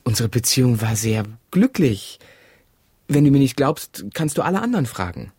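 Casting: soothing, insistent husband, very breathy husky voice, lively intonation, radio drama. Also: male voice, medium pitch, moderate pace, dry recording, clean studio sound. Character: reassuring, insistent husband of an amnesiac wife. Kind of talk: modern radio drama acting.

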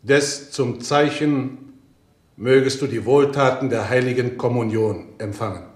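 A man in a radio play acting a grave clergyman who absolves the condemned in a solemn, preaching, deliberate way. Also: mid-range voice, natural voice. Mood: grave, forgiving. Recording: roomy echo.

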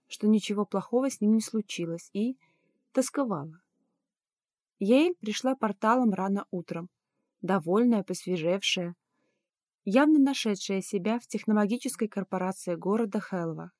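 A woman narrates a first-person fiction audiobook. Her medium-pitched voice follows a written script and is recorded close and dry, with very little room sound. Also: darkish tone, no room sound.

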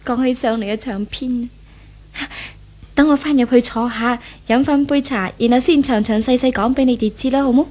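A woman's voice: on the high side, fairly even intonation, normal speed, darkish tone, lightly acted. A woman playing an earnest, repentant married lady of a well-off house in a 1970s Hong Kong Cantonese radio drama, in a theatrical manner. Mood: gentle reassuring.

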